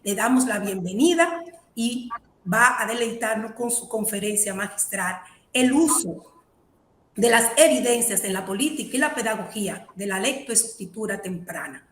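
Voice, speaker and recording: A woman moderating an event, giving a formal introduction in a measured, ceremonious way. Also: on the high side, lively intonation, fairly bright tone. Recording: echoey room with faint noise.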